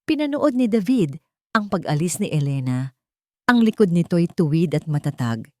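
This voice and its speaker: A woman narrates a dramatic love story from a script. Her voice is low and highly animated in pitch. The recording is close and dry, with no room sound. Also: moderate pace, no echo.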